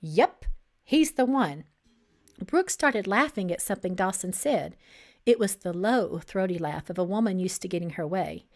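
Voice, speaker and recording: Woman, expressive novel narration, animated pitch, dramatic read-aloud style. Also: normal speed. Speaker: author reading own novel.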